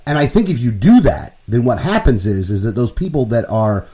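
A man co-hosting a political talk radio show, explaining his views in a casual, opinionated way and working through numbers out loud. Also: medium pitch, very animated, a little fast.

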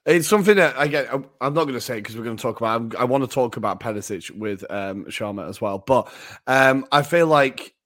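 A young man co-hosting a casual football podcast, easygoing and quick to laugh. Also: mid-range voice, a bit bright. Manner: easygoing, laughs often, agreeable backchannels. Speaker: podcast co-host.

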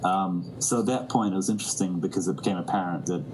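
A musician from New Zealand, a man, speaking reflectively in an interview. He often restarts sentences and fills pauses. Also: low voice, noisy background. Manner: thoughtful, many restarts and fillers. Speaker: musician guest.

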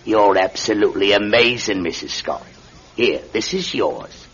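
An actor playing a blunt, eager working-class British landlord with a betting habit in a classic radio drama, speaking colloquially. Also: male voice, on the low side, a little slow, fairly bright tone. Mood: excited, delighted. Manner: colloquial, uses "me" for "my". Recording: muffled radio recording with clear noise.

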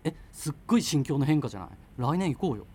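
A man's voice: a little high, very animated, fast, mellow, husky. An actor playing an evasive, argumentative boyfriend in his thirties in naturalistic radio drama dialogue, rambling as he justifies himself. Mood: rambling, keen. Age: 30s. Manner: defensive, rambling self-justification. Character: evasive, argumentative thirty-year-old freelancer boyfriend living with parents.

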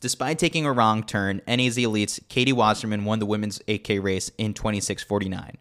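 A man with a fairly low voice reads a scripted sports newsletter aloud. He hosts it like a podcast, delivering the lines in a wry, tongue-in-cheek way. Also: fairly even intonation, brisk, fairly bright tone. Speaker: podcast host reading a newsletter.

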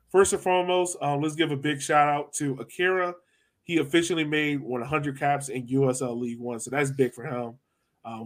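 Male podcast host, fairly high voice, very animated delivery, playful sports chat. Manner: playful, addresses guests as sir.

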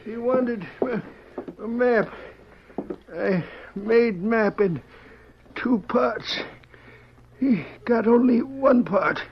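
An actor playing a dying old frontier man in a radio western, weak and speaking in halting, broken phrases. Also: very high-pitched, exaggerated voice. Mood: dying, urgent. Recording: muffled, noisy old radio recording.

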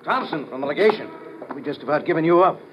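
A man's voice: a little high, expressive, quick pace, a little hiss or hum, lightly acted. Breezy American male official played by an actor, very varied intonation, colloquial radio-drama delivery, dull vintage sound. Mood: brisk, concerned. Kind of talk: 1950s radio drama acting. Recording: muffled old radio broadcast, faint music.

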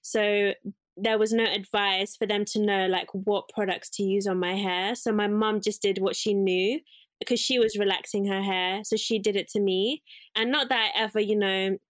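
A woman's voice: mid-range voice, steady tone, normal speed, dry recording. A young woman from England, a guest talking about her life in a casual interview, reflective and rambling with fillers and self-corrections. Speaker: guest, curly hair salon owner.

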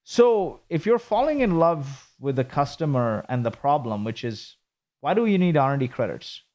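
Fast-talking founder pitching his company. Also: big pitch swings, darkish tone. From Kuwait. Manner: fast, businesslike pitch with rhetorical questions. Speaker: startup founder. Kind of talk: interview answers.

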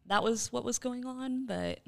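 A woman's voice: a little high, measured pace, creaky, a bit bright. A woman co-hosting a casual podcast, musing and thinking aloud as she talks. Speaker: podcast co-host, quiz contestant.

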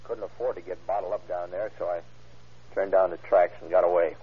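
Tough, sly male hold-up man, slangy and curt, very low husky voice, vintage radio crime drama. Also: fairly even intonation, a little fast. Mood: unrepentant, offhand. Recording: tinny, muffled old radio recording.

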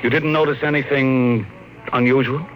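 A man's voice: mid-range voice, steady tone, moderate pace, highs cut off, slight background noise, lightly acted. An American actor playing a worried, stubborn middle-aged father in a classic radio drama, tense and emphatic. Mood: anxious, insistent. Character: worried, stubborn father at odds with his automated house. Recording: old radio broadcast, roomy echo, music behind.

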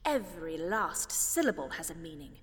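A woman's voice: sits low, sing-song, normal speed, in a large hall. A woman playing a haughty, exacting grande dame of classical theatre, pompous, precise and scolding. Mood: haughty, scornful.

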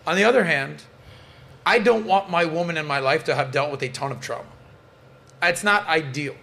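A man with a fairly high-pitched voice leads a casual panel podcast. He talks bluntly, swears without a second thought and states his opinions flat out. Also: normal speed, vocal fry. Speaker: podcast host.

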